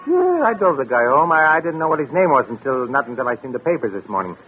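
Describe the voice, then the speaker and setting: Slangy, animated radio cabbie. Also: male voice, mid-range voice, fast talker. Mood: slangy, dismissive. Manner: slangy, informal. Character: gruff, folksy, talkative male city cab driver. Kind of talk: 1940s radio acting. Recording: muffled, noisy vintage radio recording.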